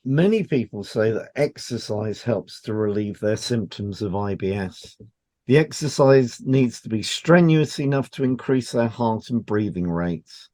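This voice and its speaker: A man's voice: fairly low pitch, lively intonation, unhurried, darkish tone, no room sound. A man co-hosting a health podcast, reading scripted advice in an explanatory, matter-of-fact way. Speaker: podcast co-host and hypnotherapist. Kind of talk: scripted educational narration.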